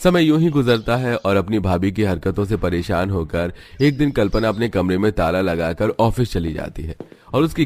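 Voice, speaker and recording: Male narrator, fairly deep voice, scripted storytelling for an animated family tale. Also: no room sound.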